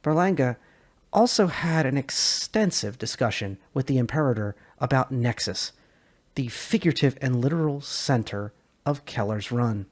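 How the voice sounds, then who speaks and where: Male narrator for sci-fi lore read, husky tone, emphatic and theatrical, widely varied intonation. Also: medium pitch, animated, moderate pace. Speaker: narrator, lore video creator. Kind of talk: dramatic reading of science fiction lore.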